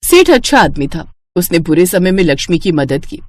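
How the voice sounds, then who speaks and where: A woman's voice: on the low side, big pitch swings, normal speed. A woman giving scripted narration of children's moral stories as a storyteller.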